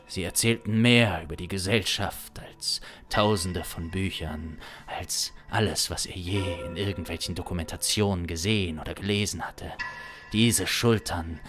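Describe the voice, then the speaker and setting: A man in his thirties narrating an improvised suspense story in a deliberate, dramatic way, close to a studio microphone. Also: sits low, over music. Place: small indoor streaming studio. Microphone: close studio microphone on boom arm.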